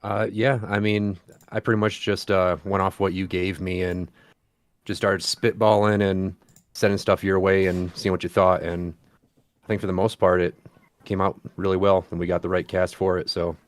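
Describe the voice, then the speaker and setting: A man's voice: deep voice, flat delivery, moderate pace, no echo. A man, co-creator and voice actor, chatting modestly on a group call. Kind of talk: casual chat on a group call. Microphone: video call.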